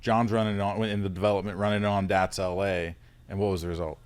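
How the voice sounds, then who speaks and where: A man's voice: deep voice, level tone, smooth. A man hosting a casual interview, feeling his way through questions with frequent restarts. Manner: halting, searching questions with restarts. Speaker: interviewer, host.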